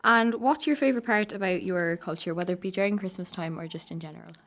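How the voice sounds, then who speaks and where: A woman's voice: lowish voice, quick pace, fairly bright tone. A teenage young woman hosting an interview show with prepared questions. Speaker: show host.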